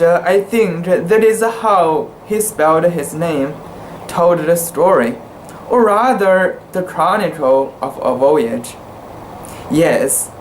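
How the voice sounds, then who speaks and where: Unhurried delivery, mid-pitched voice, careful and halting amateur literary reading, some background noise. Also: poor audio. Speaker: amateur reader. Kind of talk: dramatic reading of a literary short story.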